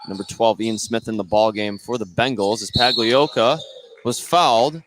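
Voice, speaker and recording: A man's voice: fairly low pitch, normal speed. An American man doing live play-by-play basketball commentary for radio. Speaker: play-by-play announcer. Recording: noisy gym broadcast. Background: bouncing basketballs and gym noise.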